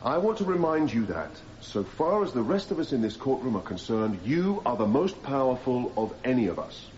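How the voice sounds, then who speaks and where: An actor playing an impassioned defence barrister, making a measured, persuasive courtroom speech to a jury in a radio drama. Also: male voice, medium pitch, sing-song, a little mellow, lightly acted. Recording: slightly dull, noisy radio broadcast copy.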